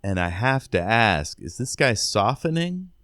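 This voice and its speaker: Low smooth guy voice, super dry close sound, chatting through a book recap, asks questions. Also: sing-song, unhurried, a bit bright, no room sound. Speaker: podcast co-host recapping a novel. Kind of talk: casual chat with read-out recaps.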